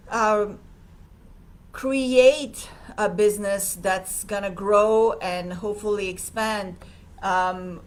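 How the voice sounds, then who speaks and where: Slow, hesitant woman. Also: fairly high pitch. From Serbia. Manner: hesitant, many fillers, reads viewer comments. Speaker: livestream host. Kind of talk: casual livestream talk.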